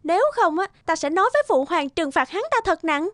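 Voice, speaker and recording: A woman's voice: very high-pitched, animated, a little fast, a bit bright, no room sound, studio quality. A woman voicing a vain, scheming young maidservant who poses as a princess in a cartoon fairy tale, haughty and falsely sweet. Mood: spiteful. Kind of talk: animated fairy-tale character acting. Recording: music under the voice.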